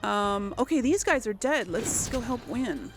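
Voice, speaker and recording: A woman's voice: on the high side, animated, measured pace. A woman doing casual let's play commentary, informally talking herself through each move as she plays. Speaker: gamer and let's play host. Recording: game music behind the voice. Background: video game music.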